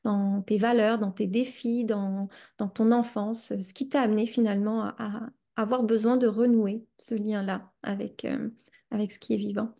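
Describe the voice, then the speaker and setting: A woman in her thirties with a Québécois accent, hosting a reflective podcast interview and speaking slowly, searching for her words with many hesitations. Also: mid-range voice, fairly even intonation, vocal fry, mellow. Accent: Québécois French. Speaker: podcast host.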